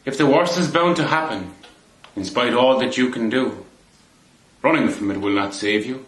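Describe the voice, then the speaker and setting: A man giving a measured, deliberate poetry recitation in a roomy indoor space. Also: medium pitch, a bit bright. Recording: roomy echo on the voice.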